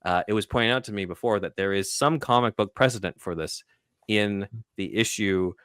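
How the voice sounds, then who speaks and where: A man's voice: fairly low pitch, moderate pace, fairly bright tone, smooth, dry recording, studio quality. A man hosting a podcast, talking casually through a film scene by scene. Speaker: podcast host.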